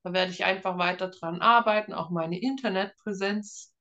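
A woman's voice: medium pitch, moderate pace, bright, forceful tone, lo-fi sound. A woman in her thirties from northeastern Germany, chatting informally and a little hesitantly as a guest in an interview. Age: 30s. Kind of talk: casual interview chat.

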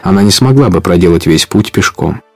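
A man's voice: low voice, lively intonation, brisk, a little mellow, no room sound, soft background music. A man narrating an adventure audio play in a scripted storytelling style.

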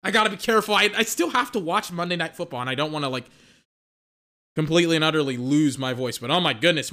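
A young man giving casual, excitable play-by-play and analysis of a football game he is watching, with lots of filler words. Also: high voice. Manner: excitable, peppered with filler words and mock voices. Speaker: watch-along football commentator.

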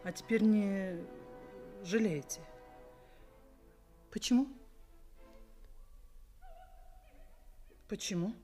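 A woman's voice: medium pitch, slow, warm tone, close to an everyday voice. An actress playing a genteel middle-aged mother in a radio play, questioning her daughter's suitor in a theatrical, insinuating way. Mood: coy, probing. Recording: 1980s radio play with faint music.

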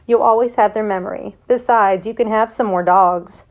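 A woman reads as a gentle farm mother from a storybook. She is patient and soothing, there to console. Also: mid-range voice, fairly even intonation, normal speed, highs cut off, natural voice. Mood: consoling.

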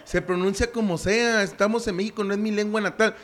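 A man's voice: high voice, steady tone, brisk, fairly bright tone, a little hiss or hum. A man with a Mexican accent co-hosting a casual, crude, joking movie recap chat, full of slang and rants. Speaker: podcast co-host.

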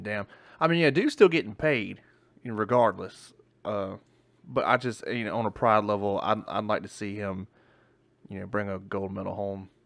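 A man's voice: fairly low pitch, a bit bright, no room sound. A male podcast co-host in casual chat, rambling and swearing, often restarting his sentences, with hiccups.

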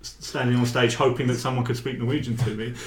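A man's voice: medium pitch, little pitch movement, fast talker, slight background noise. Rambling English man in echoey room. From England. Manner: rambling, hesitant, self-interrupting. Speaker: spoken word performer. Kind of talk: casual interview chat.

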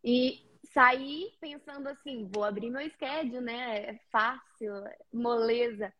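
A woman's voice: fairly high pitch, takes it slowly, a bit bright. Friendly woman from Paraná on a video call. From Paraná, Brazil. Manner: friendly, a little nervous, talks with many fillers. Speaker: interview guest, house cleaner. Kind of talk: casual interview.

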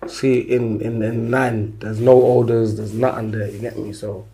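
A man's voice: medium pitch, flat delivery, a little slow, mellow, a little hiss or hum. A man with a London accent, answering interview questions in a laid-back way, full of street slang and repeated "innit". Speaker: guest rapper.

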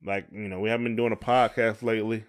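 A man's voice: on the low side, level tone, quick pace. A middle-aged man, chatting casually as a podcast co-host in a folksy, encouraging way.

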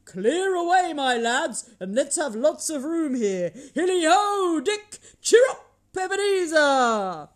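A woman's voice: high voice, big pitch swings, extremely slow, hardly any pauses, pitched above the performer's own voice, exaggerated voice. A woman voicing a jovial old male merchant in a storybook, with a rich, hearty voice and long, calling cries. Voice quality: rich, oily, fat, comfortable voice.

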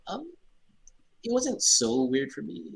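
A man's voice: fairly high pitch, wildly swooping pitch, slow pace, gravelly, husky. A man from Hawaii who grew up speaking Cantonese, a translator explaining things in a plain, matter-of-fact way in a casual interview. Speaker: translator guest.

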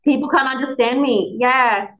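A woman in her thirties with an Australian accent, hosting a casual chat, lively and quick to laugh. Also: high-pitched. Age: 30s. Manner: chatty, animated, laughs a lot. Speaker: podcast host. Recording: tinny phone-like call audio.

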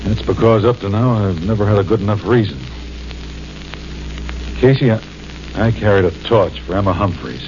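A wary, blunt mob tipster, played by a man, his voice fairly low and his slang plain. The old radio transcription is muffled, with faint hiss. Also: normal speed, pauses often, close to an everyday voice. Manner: low, blunt gangster talk. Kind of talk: 1940s radio drama acting.

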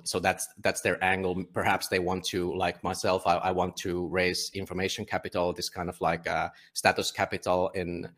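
A Finnish man, a publisher and guest, talking casually in a conversational interview, often halting and restarting his sentences. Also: sits low, a bit bright. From Finland. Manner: halting, many restarts and fillers.

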